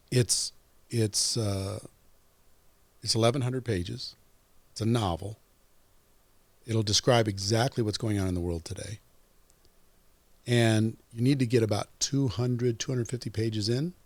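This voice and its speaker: A man in his fifties, a podcast guest, talking in an emphatic, animated way. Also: lowish voice, no echo. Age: 50s. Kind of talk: casual interview chat.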